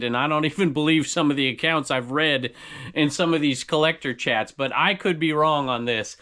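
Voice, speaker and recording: A man's voice: a little high, pushed and bright. A middle-aged man, a collectibles reseller, chatting casually to the camera in a musing way, often correcting himself mid-sentence.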